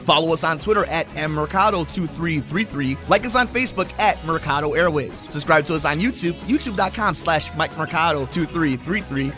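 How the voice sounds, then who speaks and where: A man hosting a podcast, reading a scripted show intro with music playing behind him. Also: on the high side. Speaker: podcast host. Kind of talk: scripted show intro and casual sports interview.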